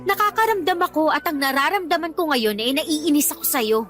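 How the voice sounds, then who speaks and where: A woman's voice: high-pitched, a little fast, fairly bright tone, soft background music. A woman playing an exasperated, hurt young girlfriend in a radio love drama, sarcastic and reproachful. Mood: annoyed, defiant.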